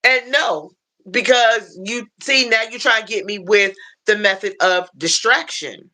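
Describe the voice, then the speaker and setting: An American woman hosting a casual livestream, telling stories in an animated way, repeating phrases and acting out what people said. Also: mid-range voice, unhurried. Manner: animated storytelling, repeats phrases, acts out quotes. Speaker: livestream host.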